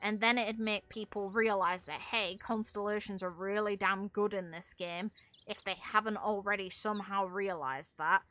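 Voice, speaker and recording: Bright-voiced woman, casual gaming commentary. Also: mid-range voice, fairly even intonation, normal speed. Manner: opinionated, rambling, casual swearing. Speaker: game streamer.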